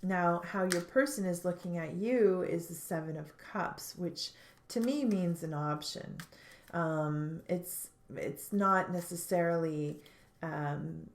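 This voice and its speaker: A woman giving a tarot reading, sounding stuffed up, speaking thoughtfully with frequent pauses and restarts. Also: on the low side, roomy sound, a little hiss or hum. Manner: thoughtful, hesitant, many fillers and restarts. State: stuffed up, congested. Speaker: tarot reader.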